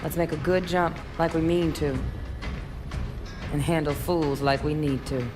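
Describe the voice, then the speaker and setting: A woman's voice: low voice, steady tone, unhurried. An actress playing a tough, steadfast female soldier in a video game, plain-spoken. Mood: resolute. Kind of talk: video game cutscene acting. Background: music.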